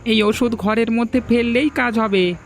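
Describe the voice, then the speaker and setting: A man's voice: very high-pitched, steady tone, moderate pace, faint music behind. A man playing a timid, earnest young villager in a fairy-tale cartoon, with an anxious, questioning manner. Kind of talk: dramatic dubbed cartoon dialogue.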